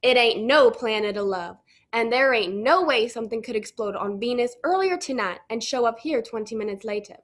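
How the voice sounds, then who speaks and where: A stubborn country farmer played by a young woman blusters in a folksy drawl. The voice sits high for a man and swings about in pitch, in comic radio play style. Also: animated, normal speed, fairly bright tone. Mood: blustery. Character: folksy, stubborn male farmer, prone to posh slips. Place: home room, on a video call.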